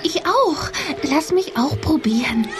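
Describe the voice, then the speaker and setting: A woman's voice: high voice, expressive, slow, over music. A woman playing a weepy, pleading little girl in a children's radio play, plaintive and feeble. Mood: delighted.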